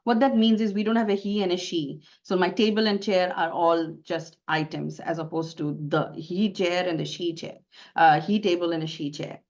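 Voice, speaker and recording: A woman's voice: on the low side, steady tone, moderate pace, darkish tone, lo-fi sound. A woman from Bangladesh with a Bangladeshi accent, a native Bengali speaker, presenting slides in a webinar in an earnest, explanatory way and stressing words by repeating them.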